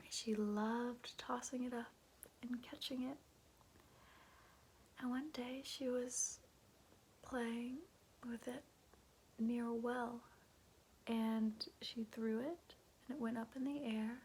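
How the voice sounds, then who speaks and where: A woman doing ASMR in a soft, breathy whisper, chatting and telling bedtime stories in a hushed, musing way. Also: on the high side, a little hiss or hum, rough recording. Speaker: ASMR host.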